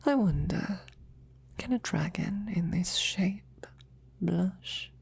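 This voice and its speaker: A woman playing a devoted, flirtatious female knight in an intimate roleplay, with a breathy, husky, often whispering voice and courtly phrasing. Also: fairly low pitch, animated, slow, darkish tone, exaggerated voice. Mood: teasing, flirtatious. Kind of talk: intimate roleplay audio, ASMR.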